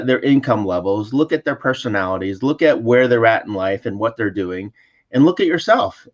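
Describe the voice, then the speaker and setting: A man's voice: medium pitch, muffled recording. A man co-hosting a casual remote chat who laughs often and repeats words as he searches for them. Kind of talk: casual podcast chat over a call. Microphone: remote call mic.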